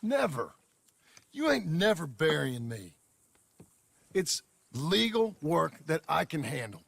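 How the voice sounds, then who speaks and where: An actor playing a weary, gruff former outlaw who now ranches and is a husband, speaking in a terse, halting, rough way in a video game cutscene. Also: male voice, on the high side, very animated, fairly bright tone, full character voice.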